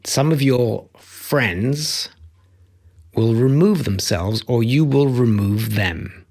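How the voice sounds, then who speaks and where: A man's voice: medium pitch, slow, a little mellow, husky. A middle-aged man coaching on self-acceptance, talking into a close studio mic in a home office, earnest and emphatic, repeating key phrases. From Democratic Republic of Congo. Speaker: empathy coach and author. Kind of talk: motivational talk to camera. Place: home office with bookshelves, indoors. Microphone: studio mic on boom arm, close.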